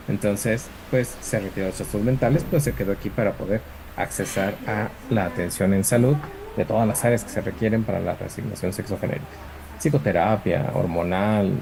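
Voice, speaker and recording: Man, online class lecture, gravelly, mellow tone, faint noise behind. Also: on the low side, low-quality recording. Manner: explanatory, many fillers and tag questions. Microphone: computer mic on video call.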